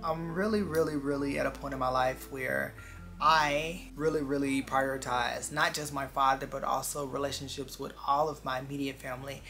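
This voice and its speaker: Vlogger, twenties, gravelly fry with a bright edge, earnest reflective chat to viewers over background music. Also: medium pitch, steady tone, moderate pace. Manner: earnest, confiding, repeats phrases for emphasis.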